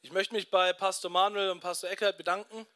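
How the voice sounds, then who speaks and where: A man interpreting a sermon live, giving quick, fluent renderings of each phrase and laughing along. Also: high-pitched, flat delivery, a bit bright, clear, resonant tone.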